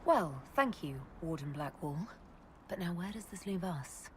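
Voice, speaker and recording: An actress playing a composed, earnest female agent and heroine in a video game, calm and direct. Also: fairly low pitch, unhurried, a little hiss or hum. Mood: calm, firm. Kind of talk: dramatic video game acting.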